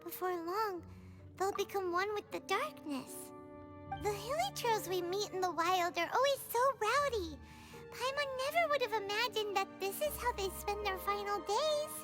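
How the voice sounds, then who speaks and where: A woman voicing a tiny, excitable, curious fairy companion in a video game, with a squeaky childlike voice. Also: moderate pace. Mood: sombre, pitying. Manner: speaks of herself in third person, exclamatory. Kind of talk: animated video game voice acting. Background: game music.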